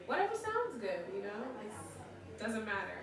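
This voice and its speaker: A young woman, a musician with Ghanaian parents, chatting in a live-stream interview. She is lively, laughs often and acts out the people she quotes. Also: medium pitch, moderate pace, over music. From United States, Ghanaian parents. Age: young adult. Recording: echoey room, far from the mic, audience around.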